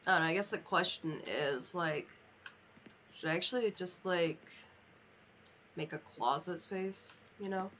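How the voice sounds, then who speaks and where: A woman's voice: fairly low pitch, unhurried, pauses often, fairly bright tone. A woman livestreamer chatting casually as she plays a video game, thinking aloud and muttering to herself. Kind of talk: casual chat while playing a video game. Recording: dull lo-fi mic, clear background noise.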